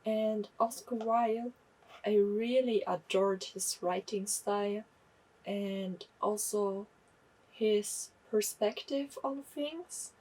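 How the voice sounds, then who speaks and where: A young woman reviews books in a slow, unhurried way. Her voice is a little husky. She talks candidly and often stops to correct herself. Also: medium pitch, distant mic, low-quality recording. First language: German. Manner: chatty, candid, self-correcting. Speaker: book vlogger. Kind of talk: casual book review.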